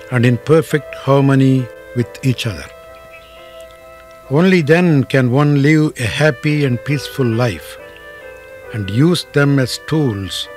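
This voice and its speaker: A man leads a guided meditation at a very unhurried pace. His mid-pitched voice moves in short, deliberate phrases with frequent pauses, giving instructions as a yoga teacher would. Faint music sits behind him. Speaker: yoga teacher guiding deep relaxation.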